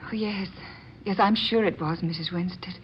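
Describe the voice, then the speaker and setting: A woman's voice: lowish voice, moderate pace, natural voice. An actress playing an earnest, awed young woman in an old-time radio drama, hesitant and repeating her words. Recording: muffled, noisy old radio recording.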